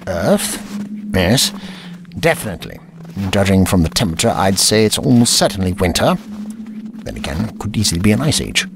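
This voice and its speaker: An actor playing a fussy, indignant elderly time traveller with a prim, pompous manner in an audio drama. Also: male voice, deep voice, very animated, a little fast, gravelly, long pauses, noisy background, exaggerated voice. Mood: musing. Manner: pompous, prim, old-fashioned wording. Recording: music under the voice.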